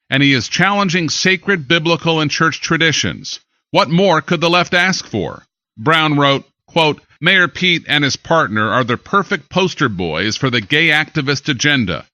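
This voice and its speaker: An American man reading scripted news as an anchor, with an emphatic, deliberate newsreader delivery. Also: medium pitch, sharp tone, no room sound, dull audio.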